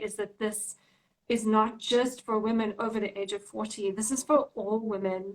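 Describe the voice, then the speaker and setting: A woman's voice: mid-range voice, low-quality recording. Woman in her thirties hosting, with fillers. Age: 30s. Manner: conversational, many "um" fillers. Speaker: host, nutritional therapist. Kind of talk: casual podcast chat.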